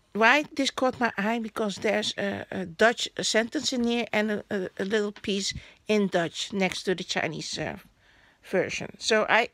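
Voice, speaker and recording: Slow, creaky-voiced woman vlogger. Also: mid-range voice, dry recording. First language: Hakka and Cantonese. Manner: hesitant, searching for words. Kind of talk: casual chat. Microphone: phone camera held over a newspaper.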